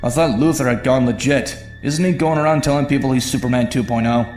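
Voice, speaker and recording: A man's voice: mid-range voice, quick pace, darkish tone, close to an everyday voice. A man voicing a brooding young vigilante antihero in a comic-book cartoon, speaking in a dry, sardonic way. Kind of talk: comic-book cartoon acting with inner monologue. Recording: music behind the voice. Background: music.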